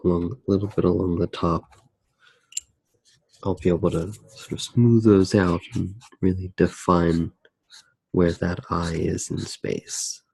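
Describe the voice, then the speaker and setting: A soft-spoken man narrating a drawing step by step in a hushed, unhurried ASMR style. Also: sits low, level tone, vocal fry, slightly rough, no room sound, rough recording.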